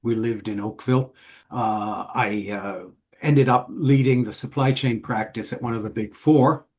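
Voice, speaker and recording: Guest: middle-aged man from Switzerland, measured, lists points, mid pitch. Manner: measured, explanatory, listing points. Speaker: guest, author. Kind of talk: casual interview.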